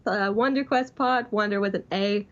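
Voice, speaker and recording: A woman's voice: mid-range voice, moderate pace. A young woman chatting casually as a guest calling in to a show, on dull lo-fi audio. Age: young adult. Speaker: interview guest. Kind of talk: casual chat.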